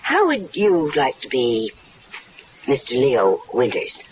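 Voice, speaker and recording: A seductive scheming wife. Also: female voice, a bass voice, huge pitch swings, takes it slowly, exaggerated voice. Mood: coaxing. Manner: coaxing, persuasive. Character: scheming wife plotting her husband's murder, seductive. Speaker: radio drama actress. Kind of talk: 1970s radio drama acting. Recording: dull, faintly hissy 1970s radio recording.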